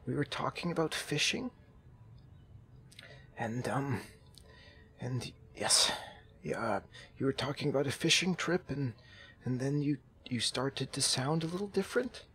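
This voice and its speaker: A man roleplaying a kindly, babbling zombie apocalypse survivor in an online game, speaking haltingly and earnestly. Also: mid-range voice, sharp tone, slight background noise. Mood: hesitant, careful. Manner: halting, earnest, folksy, repeats himself.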